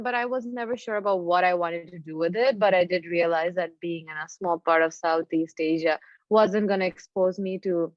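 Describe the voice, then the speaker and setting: A woman from Bangladesh giving an informal personal career talk as a startup founder, with hesitant, halting phrasing. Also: lowish voice. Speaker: deep tech startup founder, guest speaker. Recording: roomy, slightly dull lo-fi sound.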